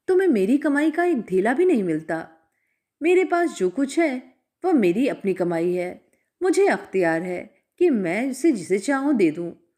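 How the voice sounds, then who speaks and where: Woman reading an angry old priestly patriarch, harsh and scolding, pitch swinging up high. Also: a little mellow. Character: stern old male Brahmin father, proud and wrathful.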